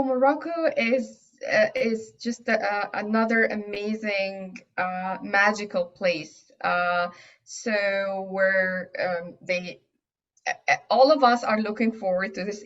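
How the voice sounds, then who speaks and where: A woman's voice: mid-range voice, fairly even intonation, takes it slowly. A woman whose first language is Arabic, from Egypt, answering interview questions in a casual, hesitant way with many fillers and restarts. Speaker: guest aromatherapy teacher. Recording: dull lo-fi audio.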